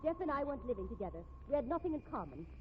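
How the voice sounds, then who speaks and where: Haughty, animated radio society wife. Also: female voice, a little high, racing, a little hiss or hum. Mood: defiant. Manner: grand, scornful, melodramatic. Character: haughty, defiant, sharp-tongued society wife. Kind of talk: 1950s radio drama acting. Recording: tinny, band-limited old radio recording.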